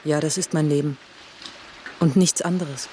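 An actor, a man, playing a tough, driven police investigator in their thirties in a radio crime drama, with a sharp, sarcastic, interrogating manner. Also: fairly high pitch, steady tone, a little slow, a little hiss or hum, close to an everyday voice. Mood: grave, determined.

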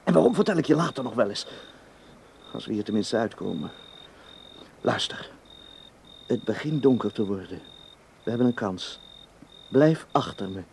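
An actor playing a tense, hard-edged secret agent in a radio drama, talking tersely and urgently. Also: male voice, medium pitch, lively intonation, moderate pace, gravelly, pauses often, a little mellow. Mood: urgent, insistent. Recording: faint background noise.

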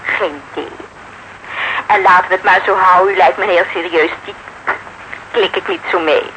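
An actress in a radio drama playing a flippant, breezy, tipsy wife with a teasing, nonchalant manner. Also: female voice, on the low side, fairly even intonation, moderate pace, bright, forceful tone. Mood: teasing, mocking. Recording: noisy radio drama recording.

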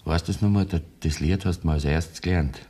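Deep-voiced Bavarian condemned poacher played by a man. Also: brisk, dark tone, close to an everyday voice. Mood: wistful reminiscing. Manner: rustic, wistful, plain-spoken. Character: condemned Bavarian poacher, wistful rustic son. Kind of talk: 1970s German radio play acting.

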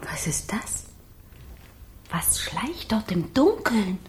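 An actress playing a restless, suffering young fire spirit in a children's radio play, speaking in plaintive, dramatic short phrases. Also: female voice, mid-range voice, very animated, takes it slowly, whispered. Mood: hushed, longing.

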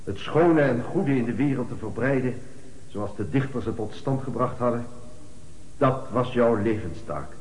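An actor playing a lofty male funeral orator in a radio play, declaiming a eulogy with measured pauses. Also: mid-range voice, lively intonation, a little mellow, close to an everyday voice. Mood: solemn, lofty. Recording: echoey hall with long reverb.

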